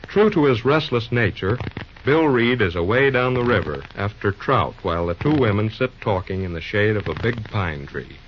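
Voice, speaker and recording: A man's voice: lowish voice, expressive, moderate pace, slight background noise. A man narrating an old-time radio serial in a scripted announcer style. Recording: muffled old radio recording, music behind.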